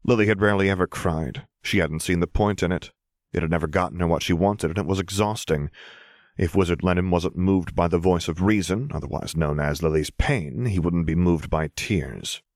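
A man reading a scripted story as narrator, in a measured, expressive way. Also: sits low, no echo, studio quality. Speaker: audiobook narrator.

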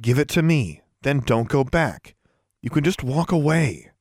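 A man's voice: mid-range voice, expressive, a little slow, a little mellow, dry recording, studio quality, pitched above the performer's own voice, lightly acted. A man voicing a bold, confrontational teenage girl in an audiobook story, blunt and firm in the way of a leader. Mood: firm reassuring.

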